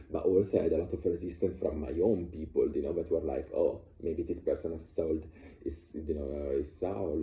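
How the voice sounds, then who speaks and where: A man's voice: deep voice, warm tone. A man, talking casually about his views with many fillers and restarts. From Italy. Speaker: vlogger sharing personal views. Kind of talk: casual unscripted monologue. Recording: echoey room.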